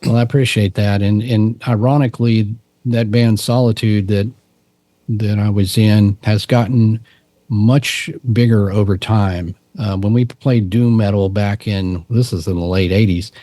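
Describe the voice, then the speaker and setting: Low, mellow-voiced man telling stories. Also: measured pace, dry recording. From Texas. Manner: relaxed, rambling, frequent restarts and fillers. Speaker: podcast guest, musician and cryptid researcher. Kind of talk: casual interview storytelling.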